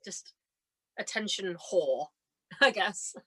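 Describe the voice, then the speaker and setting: A young woman chatting casually as a guest, telling stories in an animated, giggly and self-deprecating way, acting out bits of dialogue. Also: mid-range voice, slow, slightly rough. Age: young adult. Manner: chatty, animated storyteller who acts out reported dialogue. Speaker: podcast guest, stage and radio drama performer. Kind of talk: casual chat, telling anecdotes.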